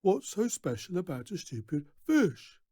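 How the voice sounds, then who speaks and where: A man voicing a gruff, menacing father who sneers and grunts in a storybook reading. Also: sits high, huge pitch swings, a little slow, mellow, no room sound, clean studio sound, voice pushed up, exaggerated voice. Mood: scornful. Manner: scornful, grudging, grunting.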